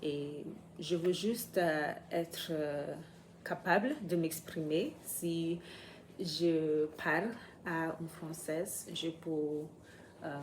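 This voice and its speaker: A woman speaking French as a second language, talking unscripted in a vlog, pausing often and searching for words. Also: deep voice, a little mellow, a little hiss or hum, rough recording. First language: not French. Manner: hesitant, many pauses and fillers, searching for words. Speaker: French learner vlogger.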